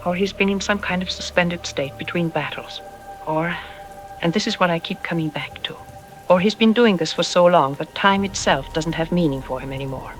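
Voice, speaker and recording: A man acts as a grave archaeology doctor interviewed on apocalyptic radio drama news. The voice is high, deliberate and troubled, pausing ominously before key words. Music and rain run underneath. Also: lightly acted. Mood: solemn.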